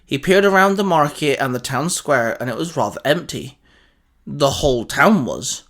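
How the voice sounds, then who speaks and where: A man narrates a scripted story in a dramatic style. His voice sits a little higher than most men's, and he reads as a storyteller would. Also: lively intonation, moderate pace, fairly bright tone.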